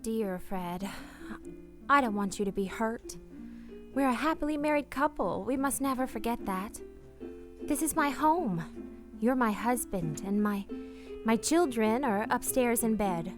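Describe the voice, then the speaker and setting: A woman's voice: fairly high pitch, animated, music in the background. An actress playing an earnest, guilt-ridden married woman in a radio play, speaking in a refined, hesitant way. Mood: anguished, confessional. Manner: hesitant, earnest, refined, with halting repeated words. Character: married woman, anxious, guilt-ridden, tenderly confessing a secret love. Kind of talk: radio play acting with confessional narration.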